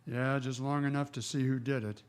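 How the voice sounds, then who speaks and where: A man plays a frontier marshal in a Western radio play. He is laconic, steady and matter-of-fact, with a mid-pitched voice. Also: a little fast, smooth, close to an everyday voice. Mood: sober, resolute. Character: steady, plain-spoken male frontier marshal. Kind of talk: Western radio drama acting.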